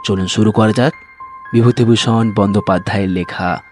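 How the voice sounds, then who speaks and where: A man's voice: on the low side, steady tone, a little fast, darkish tone. A man hosting and reading an audio story in a scripted narration style. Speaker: story reader and host. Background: music.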